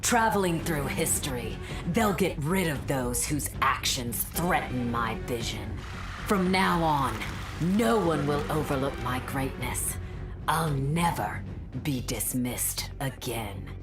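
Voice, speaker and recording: A very low, slow female villain speaks with cold, haughty bitterness. She is a vain, vengeful ice warrior in a video game, with music behind her. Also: lively intonation, exaggerated voice. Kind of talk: dramatic video game narration. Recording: clear background music.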